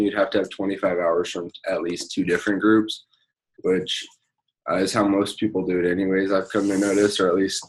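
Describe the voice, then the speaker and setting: Low-voiced young man explaining procedures. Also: low-quality recording. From Nebraska. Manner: casual, halting explanations with many fillers. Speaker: state FFA officer. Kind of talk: explaining procedures on a conference call.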